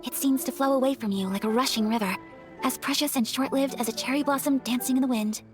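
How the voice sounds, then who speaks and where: A woman voicing a gracious young noblewoman in a video game, speaking politely and gently with formal, earnest phrasing. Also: sits high, fast talker, over music, lightly acted. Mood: wistful, grateful.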